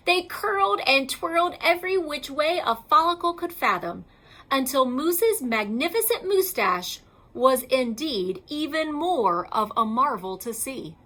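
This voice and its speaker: A woman reading a children's picture book aloud like a schoolteacher, in a theatrical, emphatic and deliberate way. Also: high-pitched, pushed and bright.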